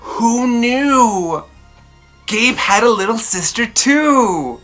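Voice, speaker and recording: A woman narrates a children's story aloud. Her voice is bright and mid-pitched, with slow, deliberate, dramatic phrasing. Soft music plays underneath. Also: animated. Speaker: storybook reader.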